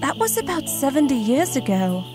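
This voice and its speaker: A woman playing a solemn, ancient huntress in an audio drama, with formal, measured, archaic phrasing. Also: high voice, very animated, over music. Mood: grave, steady.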